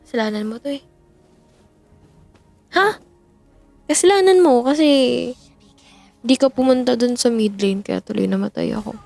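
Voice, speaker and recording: Girl doing a flustered teasy bestie voice, kinda high, talks slow, giggles a lot. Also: vocal fry, a little mellow, soft background music. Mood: teasing. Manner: teasing, giggly, flustered backtracking. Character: playful teasing young woman best friend, flustered. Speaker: ASMR roleplay performer. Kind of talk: audio roleplay, chatting while gaming.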